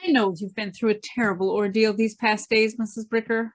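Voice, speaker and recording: A woman's voice: a little high, little pitch movement, a little fast, close to an everyday voice. A woman playing a crime fighter's loyal, concerned companion, earnest and questioning, in a radio drama. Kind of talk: old-time radio drama acting.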